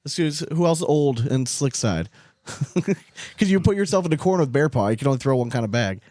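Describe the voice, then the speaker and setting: A man's voice: mid-range voice, expressive, a little fast, mellow, no room sound, clean studio sound. A man hosting a podcast, chatting casually about sport in an informal, emphatic way with some swearing. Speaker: podcast host.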